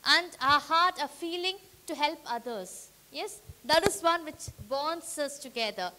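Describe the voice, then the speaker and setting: High, bright-voiced woman trainer. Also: measured pace. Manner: energetic, rallying the audience with questions. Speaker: workshop trainer. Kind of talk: interactive training session. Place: meeting hall with a live audience.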